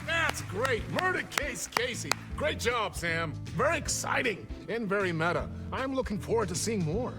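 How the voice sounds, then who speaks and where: An actor playing an excited, gushing show host in a game drama, with a showy presenting delivery. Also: male voice, sits high, moderate pace, hardly any pauses. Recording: crowd and music behind.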